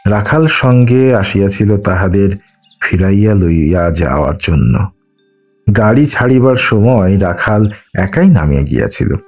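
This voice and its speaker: A man's voice: on the low side, expressive, a little slow, a little mellow. A man reading a literary story aloud as a scripted audiobook narration. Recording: music under the voice. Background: background music.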